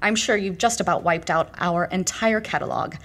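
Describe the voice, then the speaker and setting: A woman's voice: fairly low pitch, moderate pace, a bit bright. A woman playing a prim, unhelpful small-town librarian in an audio horror drama, speaking formally and with polite dismissiveness. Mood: coolly polite.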